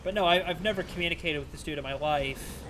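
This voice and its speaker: A man with a fairly high voice talks loosely through a game stream, drifting between play and viewer questions. His voice sounds strained and a little worn. Game sounds and noise run clearly beneath him. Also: fast talker, fairly bright tone, poor audio. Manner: rambling, chatty, answering chat between plays. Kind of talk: casual live-stream gameplay commentary.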